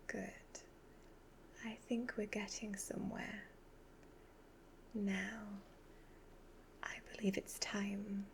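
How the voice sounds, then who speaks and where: Woman doing a soft whispery evil witch fortune teller, asmr roleplay, slow n hypnotic, sly, commanding, bit of background noise. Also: mid-range voice, poor audio. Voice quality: soft, breathy, half-whispered. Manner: slow, deliberate, instructing in measured phrases.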